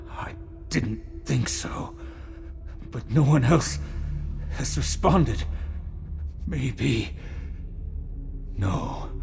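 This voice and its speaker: Dying old-guard warrior man, fantasy game, gasping, weary, heavy creak, dragging pace. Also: medium pitch, full character voice. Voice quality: gravelly. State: weak, gasping, strained. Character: dying male dragon guard veteran, weary and stubborn. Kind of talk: fantasy video game character acting.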